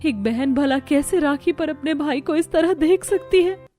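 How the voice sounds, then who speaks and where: A woman voicing a gentle, dutiful young sister in a cartoon story, earnest, polite and apologetic. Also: high voice, measured pace, faint music behind. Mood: pleading. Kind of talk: Hindi cartoon story acting.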